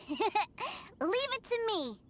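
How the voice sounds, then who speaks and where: A woman's voice: extremely high pitch, sing-song, very slow, noisy background, exaggerated voice. A woman voicing an eager, stubborn, childlike little boy in a video game, over background music. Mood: excited, adoring. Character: eager, stubborn little boy who adores his big brother. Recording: music playing under the voice.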